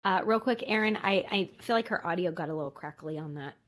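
A woman interviewer asking halting questions and affirming her guest's answers. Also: fairly low pitch, fairly bright tone. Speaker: podcast interviewer.

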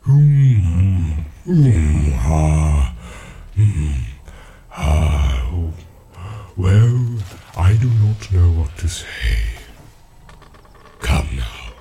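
An ancient tree-giant, deep and rumbling,. He drags out every word at a slow, unhurried pace, humming and pausing as he muses. His pitch rolls widely from low rumbles to sudden high exclaims. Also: big pitch swings, mellow, a little hiss or hum, heavily acted. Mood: musing. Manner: slow, musing, full of hums and pauses. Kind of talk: audiobook character reading.

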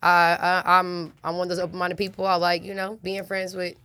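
A woman's voice: fairly low pitch, completely flat delivery, a little fast, bright, forceful tone, smooth, no echo. A woman in her twenties, a rapper, chatting loosely in an interview, slangy and profane with lots of fillers. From United States. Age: 20s. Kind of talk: casual interview chat.

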